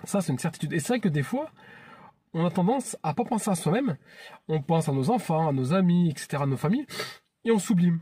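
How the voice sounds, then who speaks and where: A man's voice: a little high, lively intonation, fast talker, mellow, breathy edge, dry recording. A man in his thirties from France, hosting his own channel in an earnest, chatty vlog monologue that often restarts phrases. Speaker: YouTube channel host.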